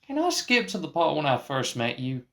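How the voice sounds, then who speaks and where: A man voicing a folksy, cocky young Texan with an excitable manner and a Texan accent, in a radio play. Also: a little high, moderate pace, exaggerated voice. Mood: evasive.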